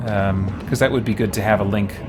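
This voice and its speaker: A man's voice: fairly low pitch, fairly even intonation, brisk, loud music behind the voice. A man hosting a game livestream, chatting casually and hesitantly, thinking aloud as he goes. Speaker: game streamer and host. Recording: clearly noisy background.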